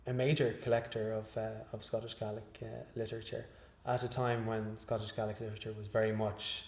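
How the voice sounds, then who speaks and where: A man's voice: lowish voice, flat delivery, a little mellow. Hesitant man in an echoey room. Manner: measured, hesitant, many fillers and restarts. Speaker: guest researcher. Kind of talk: interview after a lecture.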